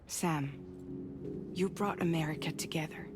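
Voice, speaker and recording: A woman playing a composed, businesslike recruiter in a video game cutscene, speaking in a measured, serious, deliberate way. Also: low voice, music in the background. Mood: grave, persuasive. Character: composed businesslike woman recruiter, former courier company boss. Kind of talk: cinematic video game acting.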